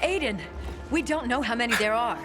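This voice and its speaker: A woman's voice: fairly high pitch, animated, fairly quick, lightly acted. Urgent woman researcher over game music, acted. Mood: urgent, grave. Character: anxious, determined woman researcher in a ruined world. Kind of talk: video game cutscene acting. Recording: loud music behind.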